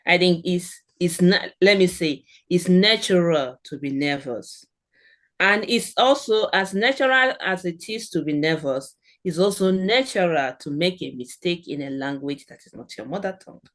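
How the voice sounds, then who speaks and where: A woman's voice: on the low side, lively intonation, normal speed, fairly bright tone. A middle-aged woman with a Nigerian accent, a guest on a podcast, giving earnest encouraging advice in short phrases. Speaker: guest, German language expert. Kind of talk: podcast interview.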